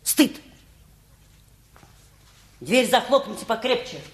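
An actress playing a bossy, indignant middle-aged housewife with a scolding, imperious manner in a radio play. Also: female voice, fairly high pitch, animated, takes it slowly, background noise. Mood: disapproving.

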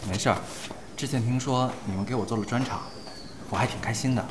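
A man's voice: medium pitch, fast talker, noisy background, lightly acted. Man acting polite young performer, echoey. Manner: polite, deferential. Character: polite, mild young male performer, deferential junior. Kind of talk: audio drama acting. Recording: echoey, very loud music behind.

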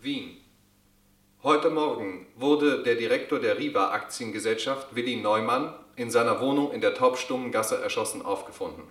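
An actor playing a radio newsreader who reads a bulletin in a formal, even manner. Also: male voice, medium pitch, normal speed, echoey room, close to an everyday voice. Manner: formal, even news reading. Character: radio newsreader, neutral adult man. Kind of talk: news bulletin within radio drama.

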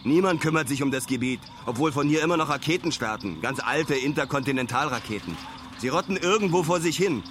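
An actor playing a brash, excitable young male traveller who keeps a slangy spoken diary in clipped phrases, in a radio drama. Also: on the high side, brisk, a little hiss or hum. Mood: excited, fascinated.

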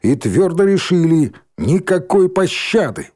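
A man's voice: medium pitch, very animated, slow, darkish tone, husky, exaggerated voice. A man voicing a bossy, merciless schoolgirl ringleader in an audiobook, with a curt, cold, commanding delivery. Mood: stern, merciless.

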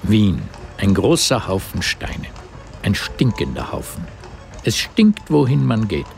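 A man's voice: fairly low pitch, very animated, slow pace, gravelly, a little mellow, faint music behind, full character voice. A man narrating a literary radio drama in scripted, present-tense prose.

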